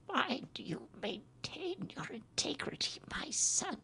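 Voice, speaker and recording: An actress playing a frail, dying old Norse mother in a fantasy radio drama, pleading slowly in a feeble, creaky voice. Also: high voice, big pitch swings, pushed and bright, full character voice. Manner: slow, pleading.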